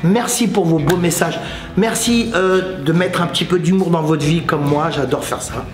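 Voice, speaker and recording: A man's voice: fairly high pitch, moderate pace, roomy sound. A man, giving informal, joking motivational advice as a Muay Thai coach and video host. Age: late 50s. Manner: joking, clownish, colloquial.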